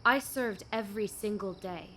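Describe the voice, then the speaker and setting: A woman's voice: medium pitch, moderate pace, fairly bright tone, a little hiss or hum, close to an everyday voice. An actress playing a struggling young woman in a radio play, guarded but hopeful. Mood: downcast, guarded.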